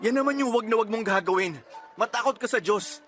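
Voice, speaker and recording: A man's voice: high voice, brisk, fairly bright tone, faint music behind. A man playing a reluctant, frightened young man facing unplanned fatherhood in a Filipino radio drama, hesitant and defensive. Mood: shocked, defensive. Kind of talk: radio drama acting in Tagalog.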